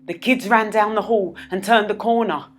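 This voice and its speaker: A woman narrating a children's story as a storyteller, with dramatic, expressive delivery. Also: mid-range voice, moderate pace, over loud music. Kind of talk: children's read-aloud narration.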